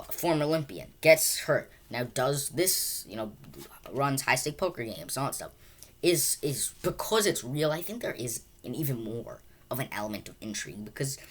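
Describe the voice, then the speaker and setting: Very low-voiced woman, halting and rambling. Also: big pitch swings. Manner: halting, rambling, repeats words and restarts sentences often. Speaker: film reviewer. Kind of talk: casual unscripted movie review.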